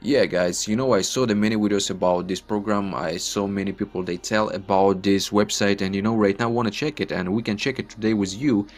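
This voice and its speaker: Ukrainian man, low voice, non-native English. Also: fairly even intonation, fairly quick, hardly any pauses. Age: 20s. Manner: non-native English, chatty and repetitive, often says "guys". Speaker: online earning vlogger. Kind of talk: casual screen-recorded walkthrough.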